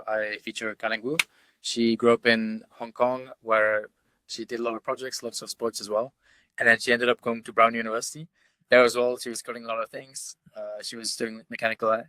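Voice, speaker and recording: A man's voice: medium pitch, pushed and bright, dry recording. Young male host from Brussels, easygoing, filler-heavy delivery, dry earbud-mic recording. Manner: casual, filler-heavy, friendly questions. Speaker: podcast host. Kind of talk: casual interview hosting. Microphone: earbud microphone.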